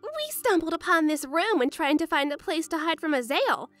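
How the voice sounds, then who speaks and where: A woman's voice: very high-pitched, very animated, moderate pace, a bit bright, no room sound, soft background music, heavily acted. A woman playing a bubbly, cheeky, carefree youngster in a fan dub, with a chirpy, playful manner.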